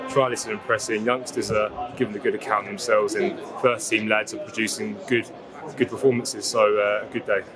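A young footballer, the team's vice captain, giving relaxed post-match answers outdoors at a football ground on a lapel mic. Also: male voice, lowish voice, brisk, music in the background. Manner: relaxed, fluent, filler-heavy answers.

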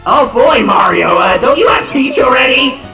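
Jovial robot bear host, wildly swooping delivery, gravelly edge, theatrical reaction commentary. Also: male voice, very high voice, lively intonation, normal speed, echoey room, music in the background, heavily acted. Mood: cheeky, excited. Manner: theatrical, exclamatory. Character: excitable male animatronic bear showman, jovial and cheeky. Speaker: channel host. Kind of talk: reaction video commentary in character.

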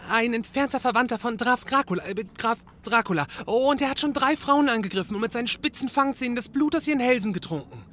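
An actor playing an anxious, naive, put-upon young detective's assistant in a comedy audio drama, whiny and flustered. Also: male voice, extremely high pitch, expressive, fast, few pauses, fairly bright tone, a little hiss or hum. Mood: flustered, eager.

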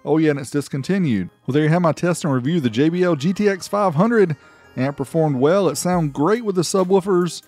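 A man's voice: on the high side, fairly quick, darkish tone. Casual man reviewing gear over music. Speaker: host reviewing car audio amplifiers.

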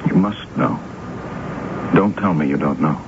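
Radio drama for a middle-aged man in love: very deep, earnest, insistent, muffled old broadcast sound. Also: unhurried. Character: lovelorn middle-aged man confessing long-held love. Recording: dull, noisy old radio recording.

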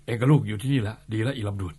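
A man playing a rough middle-aged outlaw leader who gives orders in coarse, gruff rural speech. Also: lowish voice, racing, mellow. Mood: tender. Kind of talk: radio-style drama acting.

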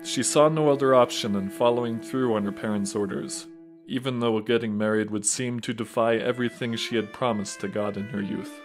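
Man, medium pitch, scripted storytelling narration, saint's life, guitar music underneath. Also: steady tone, fairly quick. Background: plucked guitar music.

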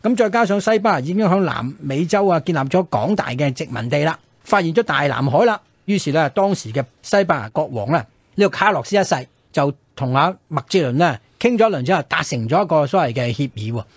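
Fast-talking, higher-pitched Hong Kong man. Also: expressive, husky, no echo. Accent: Hong Kong Cantonese. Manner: explanatory, often checks in with rhetorical questions. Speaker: radio programme host. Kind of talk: conversational history storytelling for radio. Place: radio studio.

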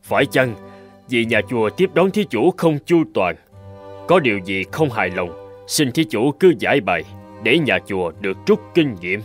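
A kindly, upright monk from a cartoon folk tale, played by a man. His mid-pitched voice moves expressively as he speaks with formal, earnest courtesy. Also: big pitch swings, moderate pace, soft background music, natural voice. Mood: concerned, kind. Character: kindly, upright adult Buddhist monk, easily flustered. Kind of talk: Vietnamese animated folk tale dubbing.

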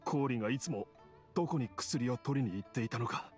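An earnest young village man, played by a male actor, sickly but determined, speaks with rough, halting intensity. His mid-pitched voice is video game dialogue set over music. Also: a little mellow, lightly acted. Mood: agitated, straining. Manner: plain, rough masculine speech, intense and halting. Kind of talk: Japanese video game voice acting. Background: background music.

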